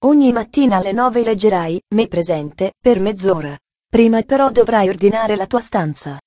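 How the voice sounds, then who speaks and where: Woman doing a strict bossy aunt, dry and severe, mellow low-key tone. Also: expressive, normal speed, dry recording, muffled recording, natural voice. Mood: stern. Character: stern strict middle-aged aunt.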